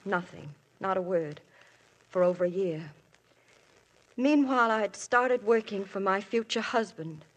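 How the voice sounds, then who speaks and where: A woman's voice: medium pitch, animated, unhurried, low-quality recording. A woman playing a married woman questioned by police in a 1950s radio drama, earnest and emotional, her voice recalling the past. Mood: wistful. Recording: old radio broadcast.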